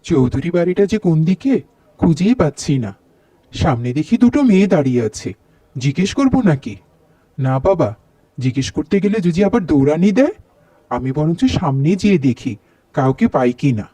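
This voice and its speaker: Mellow, animated man with dry sound. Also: on the high side, a little fast, long pauses, no echo. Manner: muttering, exclaiming asides to himself. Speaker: voice actor for male cartoon characters. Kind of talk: dubbed cartoon dialogue.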